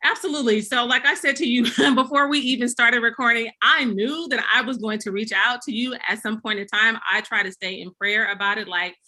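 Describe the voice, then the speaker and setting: A woman's voice: on the high side, a little fast, hardly any pauses, sharp tone. A woman hosting a podcast, effusive and chatty, laughing often as she welcomes her guest. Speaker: podcast host. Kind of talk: casual interview chat.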